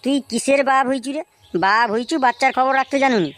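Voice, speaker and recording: A man's voice: very high voice, fast, a bit bright, soft background music. A man voicing a bullying, short-tempered gangster in a comedy cartoon, crude and browbeating, with a rural West Bengal accent. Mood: threatening. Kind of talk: amateur comedy cartoon dubbing. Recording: faint background noise.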